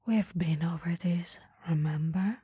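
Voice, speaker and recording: A woman playing a flirty, fussing, easily flustered female boss in an ASMR roleplay, speaking softly and often slipping into a whisper, with a hesitant, teasing manner. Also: fairly low pitch, unhurried, no room sound, clean studio sound. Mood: caring, reassuring. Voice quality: soft, breathy, often whispering.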